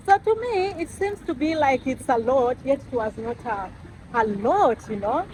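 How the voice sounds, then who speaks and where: A woman's voice: sits high, sing-song, a little slow, a little mellow, a little hiss or hum, rough recording. A young woman, chatting casually to camera for her travel vlog, calling her viewers guys and laughing often. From Uganda. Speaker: travel vlogger.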